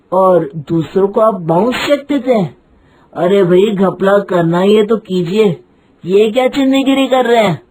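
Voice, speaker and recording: An actor playing a stern, sarcastic policeman in a cartoon story, with a mocking, forceful delivery. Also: sits high, low-quality recording. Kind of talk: acted cartoon story dialogue.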